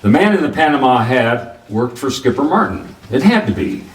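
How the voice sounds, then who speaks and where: An actor playing a wry, hardboiled private detective, narrating a noir radio drama in a clipped way. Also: male voice, mid-range voice, sing-song, normal speed, natural voice. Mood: wry, brisk. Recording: roomy, distant mic, faint noise.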